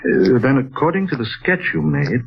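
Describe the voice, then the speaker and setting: An actor playing a curious, brisk gentleman explorer, on an old lo-fi radio broadcast. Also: mid-range voice, wildly swooping pitch, breathy edge. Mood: pondering. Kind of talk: 1940s radio acting.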